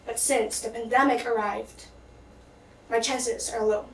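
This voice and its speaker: A woman's voice: fairly high pitch, fairly even intonation, a little slow, slight background noise. A teenage girl from the Philippines gives an earnest prepared speech for a contest, stumbling now and then and restarting words. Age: teens. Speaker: student contestant. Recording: echoey hall, mic at a distance.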